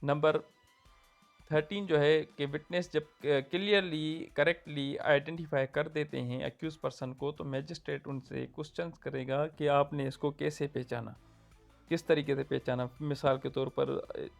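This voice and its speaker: A man with a Pakistani Urdu accent explaining legal procedure point by point, pausing with fillers. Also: a little high, vocal fry, soft background music. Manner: steady, numbered points, frequent fillers. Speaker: law lecturer. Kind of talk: explanatory lecture.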